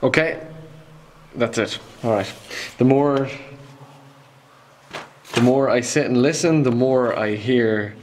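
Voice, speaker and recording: A man's voice: medium pitch, slow pace, pauses often, a little hiss or hum, poor audio. A man in his twenties with an Irish accent, talking to camera in a casual, self-mocking adventure vlog. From Ireland. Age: 20s. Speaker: vlogger.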